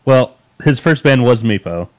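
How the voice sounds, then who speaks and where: A man co-hosting a casual gaming podcast, easygoing and quick to chime in. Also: medium pitch, expressive, a little mellow, dry recording. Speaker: podcast co-host. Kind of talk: casual podcast chat and sponsor intro.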